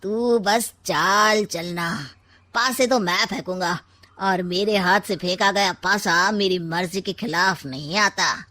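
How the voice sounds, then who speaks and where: A woman playing a slow-drawling, scheming uncle. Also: mid-range voice, sharp tone. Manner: drawling, sly, theatrical. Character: scheming, sarcastic maternal uncle, middle-aged or older man. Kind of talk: acted Hindi comic family story.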